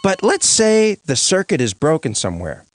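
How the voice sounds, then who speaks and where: An actor playing a brash, glib prosecuting lawyer in a cartoon, with a showy, blustering manner. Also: male voice, a little high, huge pitch swings, normal speed. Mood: impatient. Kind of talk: animated comedy courtroom dialogue.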